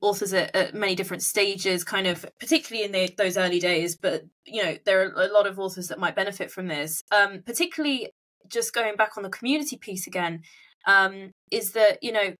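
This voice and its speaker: Woman doing a chatty podcast interview, bright voice, kinda works out her questions out loud. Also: medium pitch, brisk. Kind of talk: casual interview chat.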